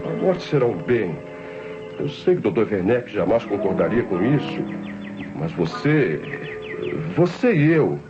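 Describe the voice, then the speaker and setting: An actor playing a scheming, indebted nephew with Brazilian speech, narrating and acting theatrically in an old radio drama. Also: male voice, medium pitch, big pitch swings, measured pace. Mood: coldly persuasive. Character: scheming indebted nephew, coldly plotting his aunt's murder. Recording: dull old radio recording with music behind.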